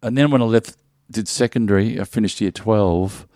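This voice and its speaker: A man's voice: on the low side, normal speed, dark tone, dry recording, clean studio sound. A middle-aged Australian man from Melbourne, a comedian guest in an interview, chatting and telling jokey stories with lots of restarts. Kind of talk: casual interview chat.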